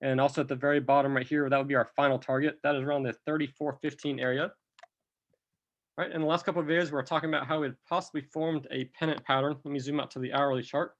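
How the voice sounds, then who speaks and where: A man talks through trading charts at an unhurried pace, explaining each step as he goes. He is a little under the weather and has a slight cough. His pitch sits in an ordinary middle range for a man. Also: flat delivery, dull audio. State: a bit sick with a cough. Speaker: crypto chart analyst. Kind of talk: screen-recorded chart walkthrough.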